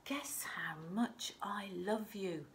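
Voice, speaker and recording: A woman voicing an eager, affectionate young hare from a storybook, speaking slowly and emphatically. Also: mid-range voice, a bit bright, slight background noise. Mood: eager, loving.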